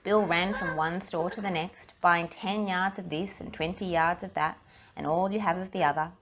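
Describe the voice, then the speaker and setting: A woman reading a children's story aloud in an expressive narrating style. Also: lowish voice, normal speed, background noise. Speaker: storybook reader.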